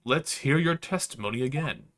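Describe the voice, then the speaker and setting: A man voicing a stern, formal courtroom judge from a visual novel game in a measured manner. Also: medium pitch, lively intonation, fairly bright tone, a little hiss or hum.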